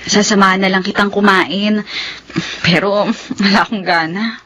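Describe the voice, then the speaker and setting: A woman's voice: mid-range voice, fairly even intonation, moderate pace, a bit bright, close to an everyday voice. A woman playing a meek, heartbroken young nurse in a Filipino radio drama, pleading and plaintive with her boyfriend and confiding in a friend. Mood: downcast.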